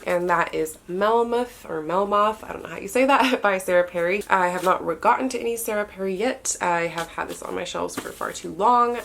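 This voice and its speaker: A young woman with a stuffy nose, chatting in a relaxed, often unsure way as she walks through the books on her shelves. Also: lowish voice, vocal fry. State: stuffy-nosed. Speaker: book vlogger. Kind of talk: casual bookshelf tour talk.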